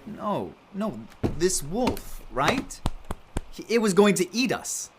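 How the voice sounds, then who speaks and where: Give the part of a sensitive, anxious survivor in an audio drama. He rambles slowly and plaintively, with pitch that swings widely, over a faint noisy ambience. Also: very animated, exaggerated voice. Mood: earnest, sympathetic.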